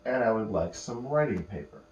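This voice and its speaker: A man telling an improvised bedtime story to children, patiently explaining words and pausing mid-phrase as he goes. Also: lowish voice, lively intonation, darkish tone, lo-fi sound. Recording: roomy echo, faint electrical hum.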